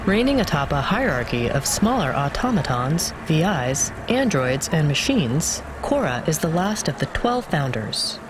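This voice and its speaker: A formal, emotionless machine assistant from a video game, reciting data with exact precision. The mid-pitched delivery moves up and down in pitch, with clear city noise around it. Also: big pitch swings, a little slow, hardly any pauses. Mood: clinical. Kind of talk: scripted game dialogue, reading data.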